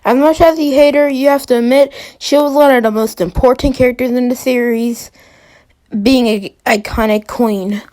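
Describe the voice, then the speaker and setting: A woman with a high voice hosts a ranking video. Her delivery is informal and opinionated, with playfully dramatic touches. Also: normal speed, a little mellow. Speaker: video host.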